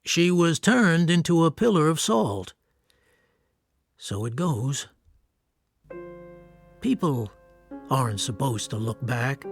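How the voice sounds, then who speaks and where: An actor playing an earnest, wry war-veteran writer who tells his story in a radio drama. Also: mid-range voice. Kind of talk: radio drama acting and literary narration. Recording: music under the voice. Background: music.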